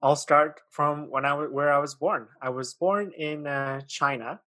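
A man's voice: on the high side, steady tone, a little slow, pushed and bright, dry recording. A man in his late twenties who grew up in Pakistan, a guest on a podcast, talking in a friendly, hesitant way with many fillers. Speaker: guest, author and podcast host. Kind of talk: casual interview chat.

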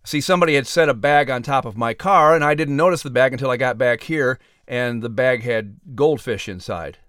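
A man narrating a children's story as announcer, with expressive, scripted storytelling delivery. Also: medium pitch, a little fast, clean tone, dry recording, clean studio sound.

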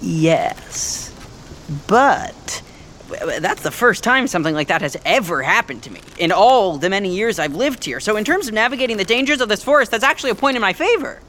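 Jittery, high-voiced young American thief. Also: male voice, expressive, brisk, pushed and bright, a little hiss or hum. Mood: flustered. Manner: rambling, stammering, eager to please. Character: nervous, chatty young man bluffing as a roguish thief. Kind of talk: fiction podcast acting, casual modern speech.

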